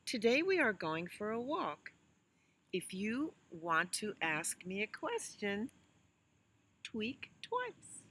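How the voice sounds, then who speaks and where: Gentle storybook mama elephant, played by a woman, patient and instructive, unhurried with animated pitch. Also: husky, heavily acted. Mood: patient, affectionate. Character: patient, gentle mother elephant teaching her little one.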